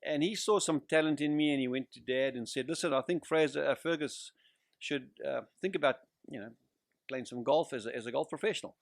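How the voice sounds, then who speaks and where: An older man from Central Africa with a southern African accent, a guest pastor giving personal testimony in a measured, hesitant way. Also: medium pitch, vocal fry, no room sound, studio quality. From Central Africa (Zimbabwe, Zambia region).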